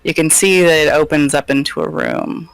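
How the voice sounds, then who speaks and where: A man running a tabletop game as game master, narrating scenes in a relaxed, descriptive way. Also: on the high side, normal speed, vocal fry, no room sound, faint music behind, poor audio. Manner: relaxed, descriptive, filler-laden.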